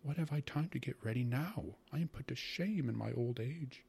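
A man voicing a timid, anxious, long-suffering elderly mother in a story, soft-spoken and meek. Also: mid-range voice, expressive, normal speed, vocal fry, husky, voice pushed up. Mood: horrified fretting.

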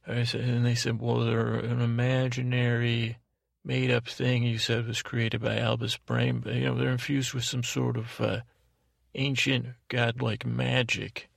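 Very gravelly, meandering male sleep podcaster. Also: mid-range voice, little pitch movement, dry recording, studio quality. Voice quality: gravelly, creaky. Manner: meandering, halting, deliberately dull drone; whimsical, self-deprecating. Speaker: podcast host. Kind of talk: rambling bedtime monologue.

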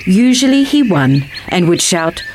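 Woman reading a storybook, lower-pitched voice. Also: sing-song, unhurried, a little mellow, slight background noise. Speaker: reader of a picture book. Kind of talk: scripted storybook narration.

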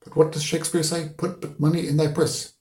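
A man's voice: a little high, flat delivery, fairly quick, darkish tone, echoey room, pitched above the performer's own voice, lightly acted. A man voicing a pompous, opinionated old schoolmaster in an audiobook, lecturing emphatically with rhetorical questions. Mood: preachy. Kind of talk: audiobook character voice.

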